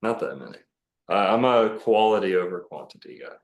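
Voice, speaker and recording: A man's voice: low voice, animated, brisk, echoey room. A man talking casually as a podcast guest, rambling and self-assured with many fillers and restarts. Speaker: podcast guest, bookstore owner.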